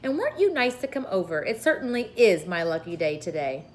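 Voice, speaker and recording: A woman's voice: lowish voice, sing-song, a little fast, a little mellow, slight background noise. A woman voicing a fearless, playful young girl with a breezy, teasing manner in a children's storybook read-aloud. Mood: gleeful.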